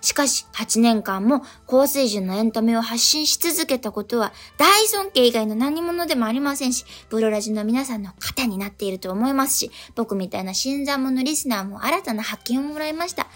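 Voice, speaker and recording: A woman's voice: a little high, expressive, normal speed, few pauses, fairly bright tone. A woman co-hosting a radio show, politely reading listener letters aloud and chatting. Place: radio studio. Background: music playing behind the voice.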